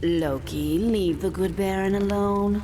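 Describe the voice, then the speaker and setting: An actress playing a cool, poised woman in a superhero video game, with a measured delivery. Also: mid-range voice, dark tone, long reverb tail, faint music behind, close to an everyday voice. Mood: cool, questioning. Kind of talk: video game cutscene acting.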